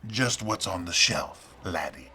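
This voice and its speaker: A man's voice: low-pitched, animated, measured pace, pushed and bright, a little hiss or hum, exaggerated voice. An actor playing a surly, streetwise 1940s tough guy in a noir radio play, curt and needling in his slang. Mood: cagey.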